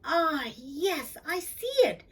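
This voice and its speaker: Lady doing a proud storybook cow, excitable, kinda high, takes her time. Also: slow pace, far from the mic, heavily acted. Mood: excited. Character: self-assured, excitable female farm cow.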